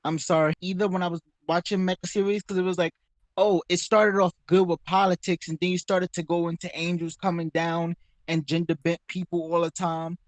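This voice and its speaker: A man's voice: sits high, little pitch movement, brisk, no room sound. A man of about twenty chatting casually about anime as a podcast panelist. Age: around 20.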